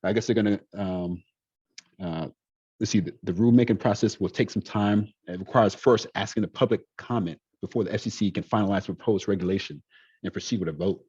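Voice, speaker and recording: A man co-hosting a casual tech podcast, talking haltingly with many uhs and restarts. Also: fairly low pitch, mellow, slightly rough, no echo. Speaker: podcast co-host. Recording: dull, dry lo-fi sound.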